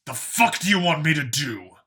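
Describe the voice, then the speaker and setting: A man's voice: a little high, animated, moderate pace, bright, forceful tone, breathy edge, full character voice. A man voicing a blunt, irritable human male detective in a science fiction audiobook. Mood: irritated, defensive. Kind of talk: audiobook character acting.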